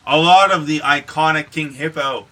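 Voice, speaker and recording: A man's voice: on the high side, moderate pace, pushed and bright. A man co-hosting a podcast in casual chat, with a joking, crude and slangy way of talking. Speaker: podcast co-host.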